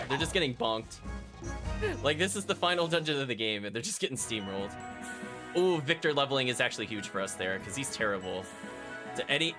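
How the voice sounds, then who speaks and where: Animated man commentating on a game stream. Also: fairly low pitch. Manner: thinks aloud, reacting to game events. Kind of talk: live gameplay commentary, chatting to viewers. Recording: music playing behind the voice. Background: video game music.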